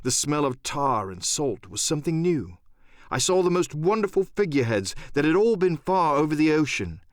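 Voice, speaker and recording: Animated male audiobook storyteller. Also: fairly high pitch, normal speed, no room sound, clean studio sound. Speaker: audiobook narrator. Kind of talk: dramatic scripted storytelling, first-person adventure narration.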